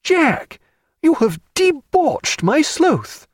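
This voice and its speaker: A man's voice: fairly high pitch, sing-song, moderate pace, breathy edge, dry recording, studio quality, pitched above the performer's own voice, full character voice. A man voicing an irascible, scolding naval surgeon and naturalist in an audiobook sea story. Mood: outraged. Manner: crying out indignantly, scolding.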